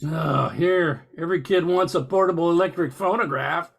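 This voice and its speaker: An older man playing an impatient, gravelly crook disguised as Santa in an amateur radio play. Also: a little high, normal speed. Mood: impatient, grudging.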